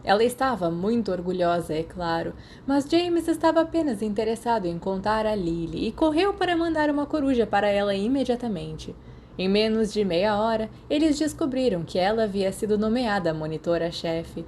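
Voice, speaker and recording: A woman's voice: mid-range voice, expressive, moderate pace, darkish tone. A woman with a Brazilian accent reading a story as scripted audiobook narration. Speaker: podfic narrator. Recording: clear background noise.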